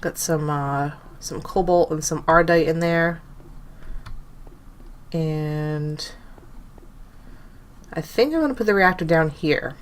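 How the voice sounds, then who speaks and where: A young woman with a low voice talks through her game in a loose, rambling way, stopping to correct herself as she goes. Her nose is blocked from allergies, which gives every word a stuffy, nasal sound. Keyboard typing can be heard behind her. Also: slow pace, pauses often, a little hiss or hum. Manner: rambling, self-correcting, thinking aloud. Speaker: gaming channel host. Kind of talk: casual gameplay commentary.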